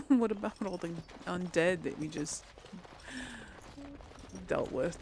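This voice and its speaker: A woman's voice: fairly low pitch, slow, darkish tone, a little hiss or hum. A woman hosting a casual let's play, thinking aloud and often trailing off into mutters. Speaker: gaming video host.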